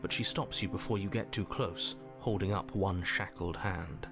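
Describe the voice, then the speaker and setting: A low, stern fairy-tale narrator over music. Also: male voice, moderate pace. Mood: grave, suspenseful. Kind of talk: dramatic scripted game narration. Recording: music behind the voice.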